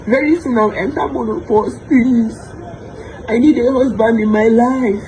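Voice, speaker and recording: A woman's voice: a little high, fairly even intonation, unhurried, warm tone, a little hiss or hum, rough recording. Pleading woman in her thirties. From Nigeria. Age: 30s. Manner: repetitive, pleading. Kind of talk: pleading social media video.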